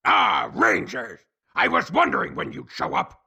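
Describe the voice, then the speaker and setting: A man voicing an evil monster henchman in a video game, with a gravelly, theatrical, gloating menace. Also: high-pitched, huge pitch swings, heavily acted. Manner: theatrical, drawn-out villain delivery. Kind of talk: video game cartoon villain acting. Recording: echoing voice under loud music.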